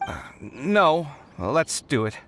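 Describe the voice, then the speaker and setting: A man voicing a luckless, proud but earnest young man in a video game, expressive and dramatic. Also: on the high side, slow, exaggerated voice. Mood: hesitant. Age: young adult.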